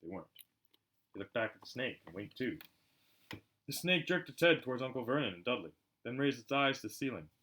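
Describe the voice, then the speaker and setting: A man reading a storybook aloud as an amateur narrator, in an expressive style. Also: mid-range voice, fairly quick, a little hiss or hum. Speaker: amateur audiobook reader.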